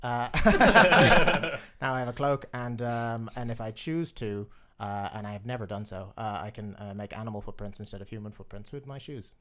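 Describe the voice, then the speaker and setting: A man's voice: on the low side, moderate pace, fairly bright tone. Hesitant man at the game table. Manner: hesitant, many fillers. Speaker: player. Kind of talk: casual chat.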